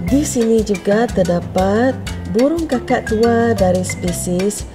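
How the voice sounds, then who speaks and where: The Malaysian woman you would hear on a homemade children's wildlife video, explaining slowly and deliberately while music plays clearly underneath. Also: a little high, lively intonation. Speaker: vlogger and narrator. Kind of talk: voice-over narration of a wildlife park visit for children.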